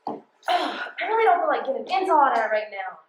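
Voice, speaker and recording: A woman's voice: sits high, big pitch swings, a little fast, a little hiss or hum. An American woman acting in a stage play as a guarded, suspicious postal carrier, speaking colloquially with a streetwise, emphatic delivery. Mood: defensive, irritated. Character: guarded, suspicious, hard-working single female postal carrier. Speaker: stage actor. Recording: roomy stage sound.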